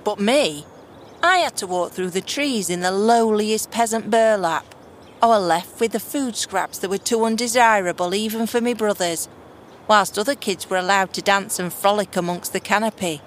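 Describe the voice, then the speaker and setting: A woman's voice: mid-range voice, moderate pace, a little hiss or hum, natural voice. A woman playing a bitter, defiant runaway daughter of a monarch in a fantasy audio drama, sarcastic and blunt, with slangy complaints.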